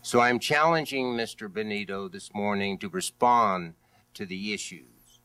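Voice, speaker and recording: A man speaking as a candidate in a political debate, with a halting delivery full of hesitations. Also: lowish voice, bright, forceful tone, clear, resonant tone. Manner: halting, hesitant delivery with many long pauses. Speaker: candidate for district attorney in a debate.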